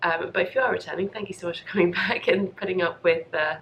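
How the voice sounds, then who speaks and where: A young woman with a slightly low voice hosts a chatty podcast. She sounds bunged up from a cold and talks in a rambling, self-deprecating way. There is clear background noise in the recording. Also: fairly even intonation, fast, fairly bright tone. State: congested and coughy from a lingering cold. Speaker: podcast host. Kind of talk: casual chatty video podcast. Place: home craft room.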